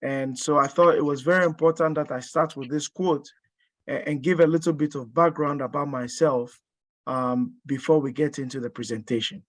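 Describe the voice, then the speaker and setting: A man's voice: a little high, fairly even intonation, moderate pace, dry recording. A man in his thirties, born in Ghana and working as a strength and conditioning coach, gives a webinar presentation in a hesitant way, full of fillers and restarts.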